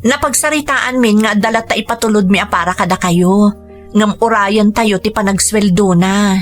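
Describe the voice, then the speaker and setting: A woman's voice: medium pitch, moderate pace, faint music behind, natural voice. A woman playing an apologetic, dutiful grown daughter in an Ilocano radio drama, placating and deferential. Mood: pleading, placating. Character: apologetic, dutiful adult daughter pleading for patience.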